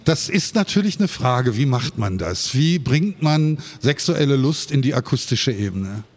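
A man's voice: medium pitch, big pitch swings, unhurried. A man moderating a stage interview, asking questions with articulate, formal phrasing.

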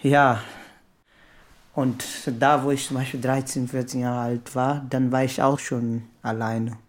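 A man talks in a mid-range voice. He is unhurried and very slow, sharing personal recollections conversationally. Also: medium pitch, little pitch movement. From Angola.

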